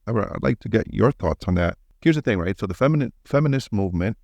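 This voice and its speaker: A man's voice: on the low side, lively intonation, quick pace, gravelly, darkish tone, dry recording. A man hosting a podcast, chatting casually and bluntly with informal, opinionated phrasing. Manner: blunt, informal, mild swearing. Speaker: podcast host. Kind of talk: casual, opinionated interview chat.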